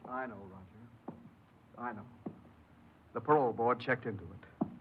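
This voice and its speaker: An actor playing a firm, patient parole official in a 1950s American radio drama, measured and reasoning with authority. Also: male voice, medium pitch, a little hiss or hum, lightly acted. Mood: firm, reassuring. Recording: muffled old radio recording.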